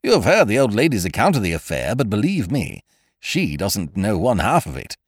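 A man's voice: fairly low pitch, huge pitch swings, moderate pace, husky, no room sound, clean studio sound, pitched above the performer's own voice, exaggerated voice. A man voicing a breezy, self-assured young schemer in a storybook, talking in an expansive, chummy way.

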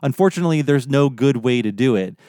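A man's voice: medium pitch, animated, fast, warm tone, no echo, clean studio sound. A man hosting a podcast, giving opinionated, articulate explanations in a casual conversational way. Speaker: podcast host.

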